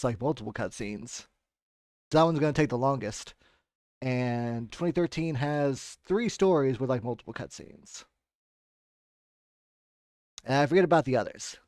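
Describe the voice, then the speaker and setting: A man's voice: fairly high pitch, darkish tone, no echo, clean studio sound. A man chatting casually as a game streamer, rambling and correcting himself in an informal way. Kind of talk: casual watchalong stream chat.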